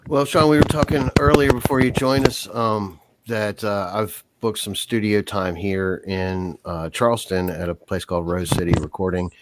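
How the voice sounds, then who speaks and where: An older man hosting a live podcast, with an easygoing, rambling way of talking full of fillers, his voice a little hoarse. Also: lowish voice, steady tone, moderate pace, few pauses, heavy background noise. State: hoarse, voice giving out. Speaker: podcast host. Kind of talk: casual live podcast chat.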